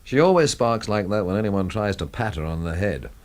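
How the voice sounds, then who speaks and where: A man's voice: on the low side, sing-song, a little fast, a little mellow. An actor playing a cool, wry man in a radio drama, with a dry, understated and unruffled delivery. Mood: wry, easygoing.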